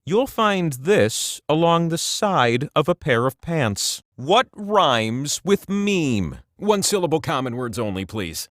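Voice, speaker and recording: An excitable, quirky male host of a trivia video game. His voice sits fairly high and leaps around with lively, theatrical swings. He delivers hints playfully, with exasperated asides, in a dry, close studio sound. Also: slow, hardly any pauses, no echo, full character voice. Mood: playful, quizzing. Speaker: game show host character. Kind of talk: quiz hint narration for a party video game.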